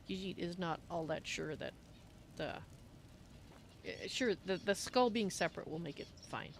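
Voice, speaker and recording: A woman's voice: fairly low pitch, expressive, moderate pace, gravelly, slight background noise. A woman voicing a polite, hesitant cat-folk adventurer from a fantasy game, with a wry manner. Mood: doubtful. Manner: speaks of herself in third person, hesitant, wry.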